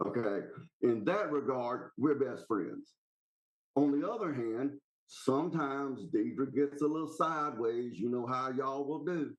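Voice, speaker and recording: A man's voice: mid-range voice, a little slow, lo-fi sound. A man with a Southern US accent, calling in to a podcast and talking in a blunt, folksy, rambling way. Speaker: podcast caller. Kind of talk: casual opinionated chat.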